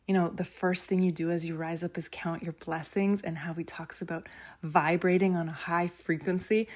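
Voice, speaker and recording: A woman with a voice pitched a little lower than most presents a countdown of songs she loves. She speaks personally and appreciatively, with clear enthusiasm for each pick. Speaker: guest presenter of a music countdown. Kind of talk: personal commentary introducing favourite songs.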